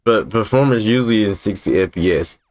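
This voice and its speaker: A man's voice: fairly low pitch, brisk, a little mellow, faint music behind. A man hosting a gaming video with casual, conversational walkthrough commentary, repeating points for emphasis. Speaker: gaming YouTuber, host.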